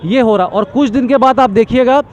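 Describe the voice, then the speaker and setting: A man's voice: extremely high pitch, a little fast, a little mellow, lo-fi sound. A man giving an impassioned street interview, talking emphatically in long repetitive lists and stammering when agitated.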